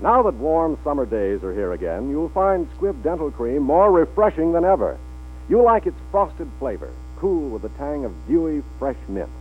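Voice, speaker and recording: A man's voice: a little high, big pitch swings, normal speed. A man announcing in a polished, emphatic scripted 1940s radio advertising style. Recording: narrow, humming 1940s radio recording.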